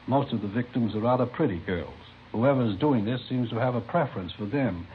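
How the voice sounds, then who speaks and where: An actor playing a worried, formal high school principal in an old radio drama, earnest and slightly hesitant, on a muffled, noisy recording. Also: male voice, brisk, lightly acted. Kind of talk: 1950s radio drama acting.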